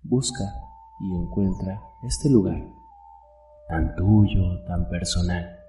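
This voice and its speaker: Soft deep guy doing guided meditation, mellow and gentle, echoey and a bit distant, faint music behind. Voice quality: soft, warm. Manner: calm, gentle, unhurried, with many pauses. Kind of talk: guided meditation, soothing narration. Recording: roomy echo, distant mic, soft music.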